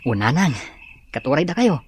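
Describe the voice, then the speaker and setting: A man's voice: fairly high pitch, very animated, normal speed, noisy background. A man playing a hardworking, respectful young man in an audio drama, speaking reassuringly with an Ilocano accent.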